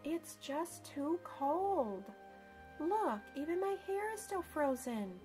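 A gloomy little storybook schoolgirl played by a woman, high and plaintive. She complains about the cold with lots of rise and fall. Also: very animated, slow pace, dark tone, soft background music, full character voice. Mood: glum, wishful. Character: chilly, gloomy little schoolgirl in picture book.

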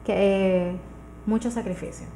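A woman's voice: medium pitch, fairly even intonation, normal speed, mellow. A woman in her thirties with a Dominican accent, explaining things conversationally as a guest in a casual radio interview. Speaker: guest entrepreneur. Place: small radio studio, indoors. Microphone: studio microphone on arm. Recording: clear background noise.

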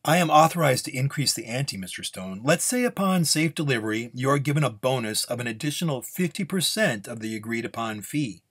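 A man's voice: medium pitch, animated, fairly quick, few pauses, a bit bright, breathy edge, pitched above the performer's own voice. A man voicing a polite, timid go-between in a Western story, formal, careful and placating.

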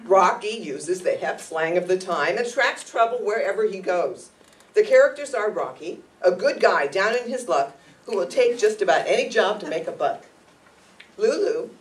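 A middle-aged woman presenter reading scripted narration to introduce a radio play to an audience in a room. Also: medium pitch. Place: meeting room stage, indoors. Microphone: far camera. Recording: distant camera mic, background noise.

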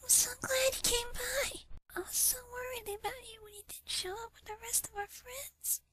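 A man voicing a weak, sweet young female pony in a breathy falsetto for a story read-aloud. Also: fairly even intonation, moderate pace, no room sound. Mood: weakly glad. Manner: gentle, tender. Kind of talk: fan fiction read-aloud.

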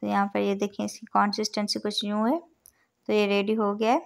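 A woman with an Urdu-influenced accent narrating a recipe step by step as a cooking channel host, calm and instructive as she counts out each measure. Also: medium pitch, brisk, creaky. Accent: Urdu-influenced Hindustani. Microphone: voice-over over overhead cooking shots.

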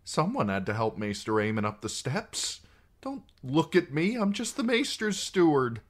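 A man's voice: on the high side, big pitch swings, moderate pace, voice pushed up. A man voicing a timid, nervous young male steward with an anxious manner.

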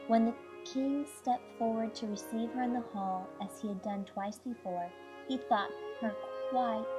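A woman narrating a fairy tale as a read-aloud storyteller, in measured storybook phrasing. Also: fairly high pitch, rough recording. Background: music.

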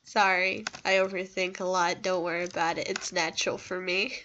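A woman's voice: fairly low pitch, little pitch movement, normal speed, sharp tone, highs cut off, a little hiss or hum. A young woman chatting casually over gameplay, rambling, self-deprecating and reassuring, recorded on a home computer microphone. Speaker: gaming video creator.

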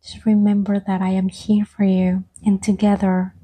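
A soft-spoken woman gently guiding an ASMR meditation, breathy and often slipping toward a whisper, with soothing, unhurried phrasing. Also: mid-range voice, monotone, rough recording. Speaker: ASMR guide and host.

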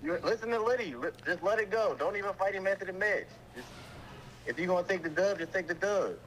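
A man's voice: high voice, animated, fast, fairly bright tone, rough recording. A man from Philadelphia with an American accent calling in to chat, blunt and slangy, swearing a lot. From Philadelphia, USA. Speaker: show caller. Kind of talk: casual phone chat.

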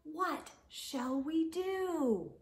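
A middle-aged woman reading a picture book aloud to young children in an expressive, sing-song way. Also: high voice, drawn-out words. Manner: expressive, sing-song delivery with animal sounds and hushed asides. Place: living room or home library with bookshelves.

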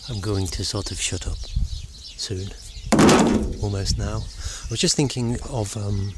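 A middle-aged man with a fairly low voice muses aloud to a handheld camera out in open countryside. He rambles reflectively, often stopping to search for words. There is clear outdoor noise with birds behind him. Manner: halting, searching for words, musing. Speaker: vlogger. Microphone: handheld camera at arm's length. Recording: noisy outdoor camera recording.

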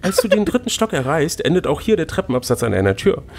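A man's voice: on the high side, very animated, quick pace, slight background noise. A man co-hosting a podcast, reading a gamebook aloud with playful, teasing banter. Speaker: podcast co-host and reader.

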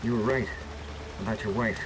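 A man's voice: on the low side, steady tone, normal speed, natural voice. Earnest man, acted, in noisy rain. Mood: earnest, guarded. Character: earnest, covert male dissident official. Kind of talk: naturalistic video game acting. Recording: noisy, rainy background.

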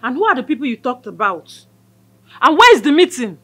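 An actress with a Nigerian accent playing an exasperated, questioning mother and madam of the house in a film drama, with a husky voice. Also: high-pitched, very animated, a little fast, a bit bright, faint music behind, heavily acted. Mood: suspicious.

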